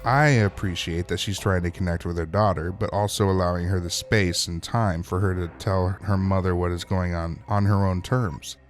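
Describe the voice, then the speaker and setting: Male recap host, lowish voice, wry and colloquial, casual narration over faint music. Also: fairly even intonation, normal speed, hardly any pauses. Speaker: host of a TV episode recap show.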